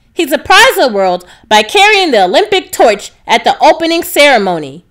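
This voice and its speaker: Woman, high voice, very varied intonation, children's read-aloud narration, clearly enunciated. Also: fairly bright tone. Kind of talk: scripted children's storybook narration.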